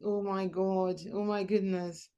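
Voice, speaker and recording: A woman's voice: mid-range voice, level tone, a little slow, mellow, clean tone, highs cut off. Woman podcast host, mid-range voice, effusive and enthusiastic interviewer. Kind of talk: interview hosting.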